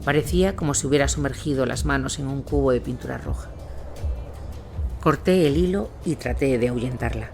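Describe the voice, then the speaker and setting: A man's voice: mid-range voice, a little fast, creaky, darkish tone. A man reading a scripted first-person war account as its narrator. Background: music.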